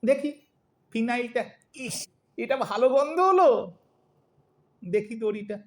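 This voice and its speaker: A woman's voice: unhurried, close to an everyday voice. A woman voicing an aggrieved husband in his eighties, thin-voiced, plaintive and theatrical, in a comic audio drama. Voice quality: thin, squeaky. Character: aggrieved, melodramatic 82-year-old lovelorn husband.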